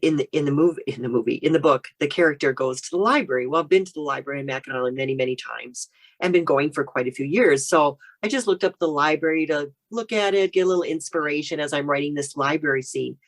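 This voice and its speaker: A woman's voice: low voice, fast. An American woman speaking as a guest author, chatting casually and telling anecdotes in an interview.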